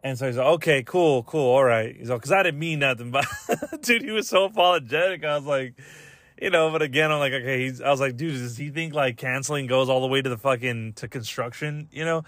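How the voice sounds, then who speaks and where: A man's voice: medium pitch, fairly quick, dry recording. Casual, slangy male podcast host. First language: English. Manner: slangy, profane, animated retelling with quoted exchanges. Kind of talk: casual comedic rambling monologue.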